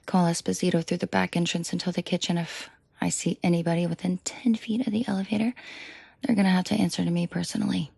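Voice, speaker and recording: A woman playing a commanding, protective and seductive mafia boss in an intimate audio roleplay, speaking in a breathy, controlled murmur that often drops to a half-whisper. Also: on the low side, little pitch movement, darkish tone, dry recording, heavily acted. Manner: slow, deliberate, controlled murmur addressed closely to listener.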